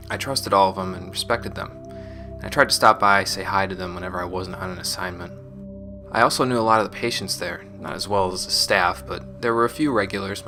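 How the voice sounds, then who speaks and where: A very low-pitched, solemn space marine played by a man records a confessional sci-fi audio log. The delivery is measured and resolute, weighed down by guilt, with clear music playing behind it. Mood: somber, reminiscing. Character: resolute, guilt-burdened space marine recounting a gang attack.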